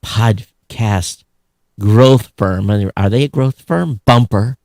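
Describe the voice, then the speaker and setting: A man's voice: on the low side, slow, darkish tone, no room sound, studio quality. A middle-aged man co-hosting a podcast, chatting casually about tech news in a blunt, sarcastic way. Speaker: podcast co-host.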